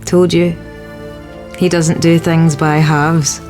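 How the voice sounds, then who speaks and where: A woman's voice: sits low, level tone, slow, darkish tone, faint music behind. A woman playing a seasoned, wry British spy in a video game cutscene. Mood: wry, warm.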